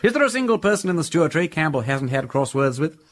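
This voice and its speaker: An actor playing a breezy, curious gentleman sleuth with an upper-class English accent and jaunty old-fashioned slang, in a radio drama. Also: on the high side, big pitch swings, fast talker. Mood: breezy, teasing.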